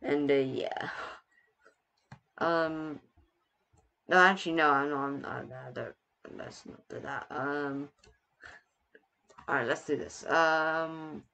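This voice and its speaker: A man's voice: a little high, fairly even intonation, slow pace, gravelly, pauses often, sharp tone, lo-fi sound. A young man giving casual, rambling game commentary in a hesitant, self-deprecating way, sometimes reading captions aloud. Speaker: gaming video host.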